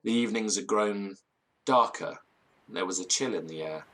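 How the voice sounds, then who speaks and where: An actor playing a nervous, theatrical, disgraced gentleman in an audio drama, with florid old-fashioned diction and a tendency to stammer. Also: lowish voice, normal speed, a little hiss or hum, close to an everyday voice. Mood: uneasy, reminiscing. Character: nervous, theatrical, hard-drinking disgraced university gentleman storyteller.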